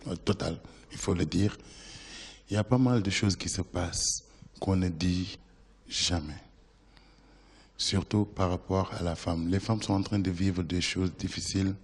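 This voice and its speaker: A man from Senegal speaks slowly in a very deep voice, pausing between his words. His voice rings out with a long reverb, as in a big hall. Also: a little mellow. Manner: slow and halting, with pauses. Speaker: actor and storyteller. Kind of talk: interview answers.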